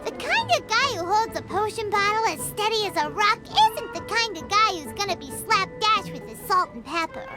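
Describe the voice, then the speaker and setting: A woman voicing a tiny, childlike, chirpy fairy companion in a video game, squeaky and exuberant. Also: sing-song, normal speed, hardly any pauses. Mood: cheerful, satisfied. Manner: refers to self in third person, exuberant. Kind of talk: video game character acting. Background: music.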